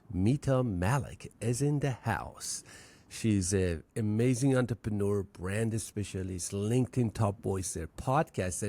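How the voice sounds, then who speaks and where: Low, mellow-voiced male host. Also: creaky. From Iran. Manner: halting, searching phrasing with restarts. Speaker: podcast host. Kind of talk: conversational interview.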